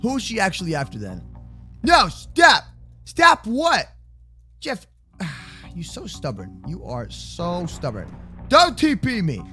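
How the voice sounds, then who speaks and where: A young adult man improvising a children's block-game roleplay with excitable, exaggerated, slangy banter. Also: high voice, takes it slowly, faint music behind. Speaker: host of kids' gaming roleplay channel.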